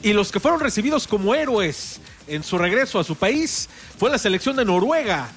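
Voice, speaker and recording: A man's voice: sits high, sing-song, normal speed, a bit bright, faint music behind. A man with a Mexican accent hosting a radio sports show in casual chat, chatty with fillers and restarts. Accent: Mexican Spanish. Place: radio studio.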